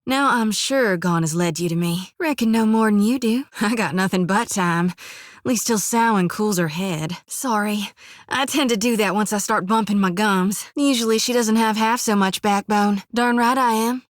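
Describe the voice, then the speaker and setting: A woman's voice: mid-range voice, moderate pace, dry recording, clean studio sound, natural voice. An actress voicing a young woman priest who carries a soul-reaping lantern, speaking with a folksy drawl, earnest and devout. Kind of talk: video game voice acting. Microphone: close studio mic.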